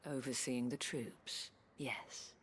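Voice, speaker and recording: Very low-voiced, weary dame. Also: female voice, fairly even intonation, slight background noise. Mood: pleading. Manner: measured, eloquent, weary. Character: worldly, anxious dame looking after her wards. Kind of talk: scripted video game drama.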